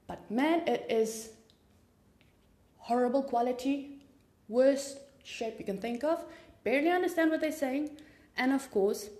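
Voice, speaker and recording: A woman's voice: on the high side, a little mellow, reverberant. A woman from South Africa whose first language is Afrikaans, hosting a true crime podcast in a chatty, informal way with rambling asides and a South African accent. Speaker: podcast host. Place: home room with papers on desk.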